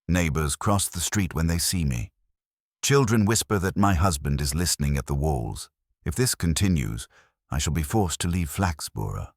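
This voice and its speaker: A troubled, weary widow, as a male narrator reads her. She speaks low and steadily in a detective story, anxious but composed. The voice is husky and close, with a dry sound. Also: lively intonation, moderate pace, no echo, heavily acted. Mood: distressed, steady. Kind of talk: detective audiobook character reading.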